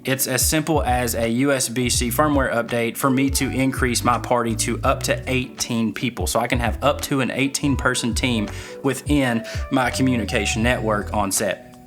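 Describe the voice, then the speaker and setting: A man presents a gear review in a casual, conversational way. His voice sits at a middle pitch and carries some dry humour, with music playing behind it. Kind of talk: casual review narration to viewers.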